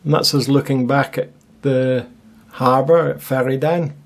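A man's voice: medium pitch, steady tone, measured pace. A man narrating his own seaside video in a casual, chatty way with wry asides. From Scotland. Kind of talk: casual voice-over commentary.

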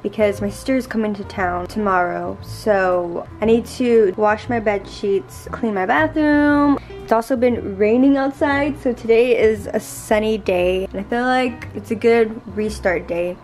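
A woman's voice: medium pitch, unhurried, hardly any pauses. Young female vlogger, casual, chatty, mid-range voice, with music beneath her. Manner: chatty, relaxed, uses "like" often. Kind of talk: casual vlog narration. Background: soft background music.

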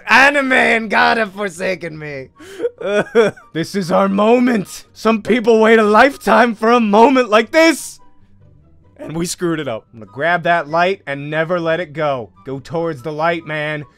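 Young male gaming host, high-pitched and bright, excitable and exclamatory, repeats himself mid-play. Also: measured pace, vocal fry, soft background music. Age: young adult. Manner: animated, exclaiming, repeats phrases while playing. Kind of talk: excitable let's play commentary. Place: small indoor room at home. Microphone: close desk microphone, headset on.

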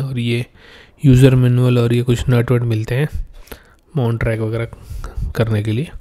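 A man presents a product unboxing in a casual, plain way. His voice is soft-edged and mellow, with very little rise and fall in pitch. He explains each part step by step at a middling pitch.

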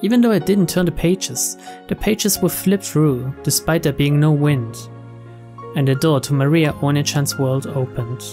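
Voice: medium pitch, slow, music in the background. A narrator reading visual novel narration aloud.

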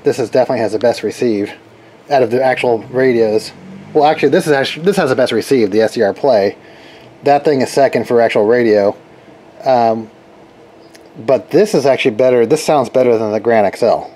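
A man's voice: medium pitch, a little fast, dark tone. A man thinking aloud in a casual, rambling hands-on demonstration, recorded on a handheld camera in a garage workshop. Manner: rambling, informal. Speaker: radio repair hobbyist reviewing gear. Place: cluttered garage workshop with open door. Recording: noisy workshop with radio hiss and hum. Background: radio static and hum, ambient noise.

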